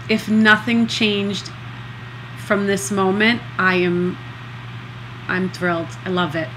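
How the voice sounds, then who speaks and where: A middle-aged woman in a casual video diary, talking slowly and earnestly with a congested nose and many pauses. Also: mid-range voice, background noise. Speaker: vlogger recovering from nose surgery.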